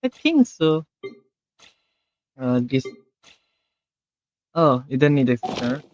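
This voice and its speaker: A man's voice: medium pitch, very animated, fast, dull audio, background noise. A man with an Indian accent giving casual live commentary as a game streamer, often repeating words. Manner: mixes Hindi and English, repeats words. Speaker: game livestreamer. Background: game music.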